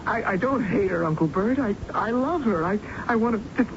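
An anguished son, pleads in halting, stammered phrases. His voice sits fairly high, full of guilt. It is radio drama with dull, noisy sound. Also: expressive. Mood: anguished, pleading.

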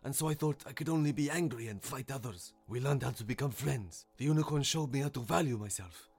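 A man voicing a proud, gentle lion in a story, speaking in an earnest and emphatic, measured way. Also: mid-range voice, slightly rough, faint music behind, pitched above the performer's own voice. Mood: earnest confession. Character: proud, gentle, growling male lion, reformed fighter.

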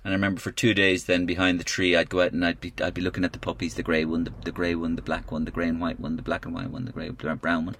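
An Irish comedian and impressionist in casual chat, a rambling storyteller who is deadpan about grim things. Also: male voice, low voice, quick pace, creaky, hardly any pauses.

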